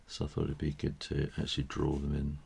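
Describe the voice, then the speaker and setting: A man with a tired, croaky voice, thinking aloud with soft chuckles as he teaches drawing and painting. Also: rumbling low, completely flat delivery, fairly quick. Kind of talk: casual drawing tutorial narration.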